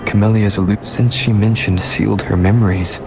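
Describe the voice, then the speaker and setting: A man playing a calm young adventurer hero in a video game, reasoning aloud in a measured, analytical way. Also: fairly low pitch, noisy background, lightly acted. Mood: puzzled. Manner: measured, analytical inner monologue. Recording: background music under the voice.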